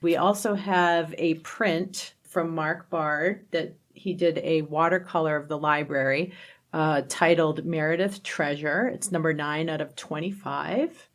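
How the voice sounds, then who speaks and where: A woman's voice: sits low, steady tone, unhurried. A woman co-hosting a podcast in casual conversation, with an upbeat, appreciative way of talking. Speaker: podcast co-host.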